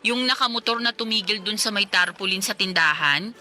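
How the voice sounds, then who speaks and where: A woman's voice: mid-range voice, a little fast, bright, forceful tone, faint music behind. An actress in her twenties playing a polite, curious young housemaid from the province in a radio drama. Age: 20s. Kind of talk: Tagalog radio drama acting.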